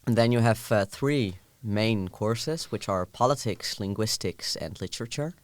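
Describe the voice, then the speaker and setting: Low-voiced young Dutch man, measured. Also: dry recording. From Netherlands. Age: young adult. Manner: thoughtful, measured, with frequent uh fillers. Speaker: guest, student. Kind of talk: casual chat.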